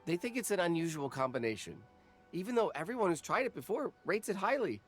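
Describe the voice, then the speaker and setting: A man's voice: high-pitched, sing-song, fast talker, faint music behind. An actor voicing a nervous young snack vendor who stammers and apologizes while explaining his wares in a game cutscene.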